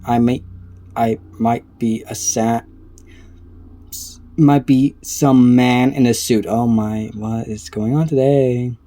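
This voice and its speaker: Mellow-voiced man, halting casual reactions. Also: medium pitch, fairly even intonation. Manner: halting, stumbling over words while reading. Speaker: reaction video creator. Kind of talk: casual reaction commentary, reading captions aloud.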